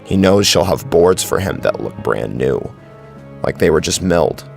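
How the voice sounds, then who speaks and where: Very deep, gravelly male narrator. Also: expressive, normal speed, darkish tone. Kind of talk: scripted horror story narration. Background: faint music.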